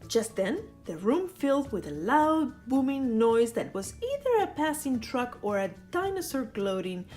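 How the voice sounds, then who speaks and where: A woman's voice: fairly high pitch, sing-song, a little slow, a little mellow, soft background music. Playful woman reading a picture book aloud. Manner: playful, teasing asides. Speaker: storyteller.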